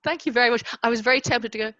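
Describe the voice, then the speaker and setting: A woman's voice: fairly high pitch, very fast, bright, forceful tone, dull audio, slight background noise. An Irish woman in her fifties, a guest telling her story in a casual interview and laughing often. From Ireland. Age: 50s.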